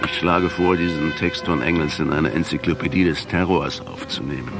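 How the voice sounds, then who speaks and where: An actor playing an accusing male intellectual in a radio drama, speaking in a deliberate, articulate way. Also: a bass voice, fairly even intonation, over music. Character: accusing male intellectual, professor quoting history at the commander.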